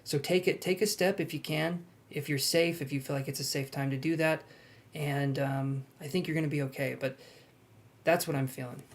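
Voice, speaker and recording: Young guy doing a tarot live stream, kinda high voice, chatty and reassuring. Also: steady tone, normal speed. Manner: chatty, gently reassuring, reads out viewer comments. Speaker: tarot reader. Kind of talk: live-stream chat with viewers.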